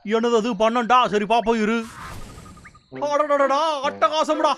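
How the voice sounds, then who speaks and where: A man voicing a boastful, scheming, excitable male lumberjack in a cartoon dub, loud and gloating with bursts of laughter. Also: very high-pitched, measured pace, a little hiss or hum.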